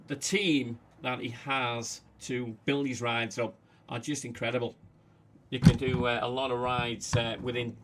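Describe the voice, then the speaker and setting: A man with a Manchester accent hosting a casual livestream, talking haltingly with many fillers. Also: medium pitch, a little hiss or hum. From England. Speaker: livestream host.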